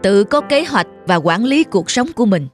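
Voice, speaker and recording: A woman's voice: medium pitch, big pitch swings, normal speed, natural voice. Woman doing strict middle-aged dad, cartoon, firm n blunt, music behind. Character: stern middle-aged father, firm and unyielding. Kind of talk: cartoon drama acting.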